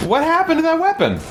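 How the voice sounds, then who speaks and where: A man hosting a gaming let's play with casual live commentary and animated, theatrical reactions. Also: very high-pitched.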